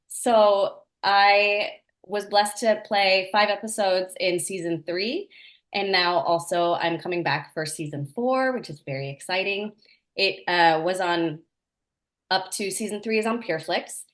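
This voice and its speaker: A woman's voice: fairly low pitch, fairly bright tone. A woman from Norway, an actress, answering interview questions in an earnest, enthusiastic way with small laughs. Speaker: actress, guest.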